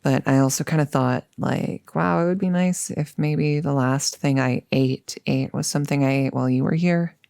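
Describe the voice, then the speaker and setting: A woman's voice: low-pitched, fairly even intonation, normal speed, vocal fry, hardly any pauses, dark tone, clear, resonant tone, no room sound, studio quality. A woman playing a chatty, upbeat girlfriend in an intimate ASMR roleplay, rambling casually and musing with hesitations. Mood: casual, reminiscing. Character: chatty, upbeat girlfriend about to become a vampire.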